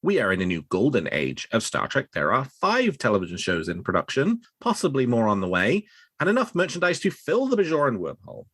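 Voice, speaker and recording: A man's voice: medium pitch, huge pitch swings, a little fast, a bit bright, breathy edge, dry recording. A man hosting a fan news podcast, presenting into a close microphone. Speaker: podcast host.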